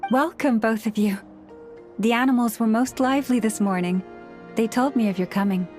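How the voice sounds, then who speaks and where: A woman playing a serene priestess leader in a video game, speaking in a formal, measured, earnest way. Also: on the high side, darkish tone, natural voice. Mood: gentle, composed. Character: tall antlered priestess leader, gentle, solemn, serene. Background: background music.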